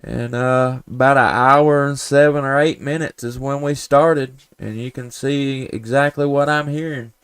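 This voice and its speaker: A man's voice: mid-range voice, steady tone, a little slow, few pauses, fairly bright tone, clear, resonant tone, no echo. A man with a Southern US accent chatting and calling as an amateur radio operator, folksy and polite. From Tennessee, United States. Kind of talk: casual ham radio chat and calling.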